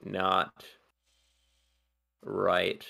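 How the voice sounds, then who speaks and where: A man co-hosting a game stream in casual chat, hesitant and halting, with a dry sense of humor. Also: deep voice, monotone, vocal fry, sharp tone. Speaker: streamer co-host.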